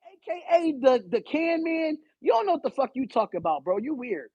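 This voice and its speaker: A man hosting a live stream, loud and animated, swearing and arguing back at his chat. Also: extremely high pitch, a little fast, dry recording, lo-fi sound. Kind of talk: casual live stream rant.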